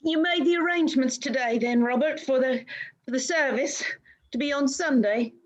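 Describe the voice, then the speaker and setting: A poor, grieving mother played by a woman in a radio play, gentle and weary. Her high voice comes haltingly through dull, lo-fi video-call sound. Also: lightly acted. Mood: tender grief.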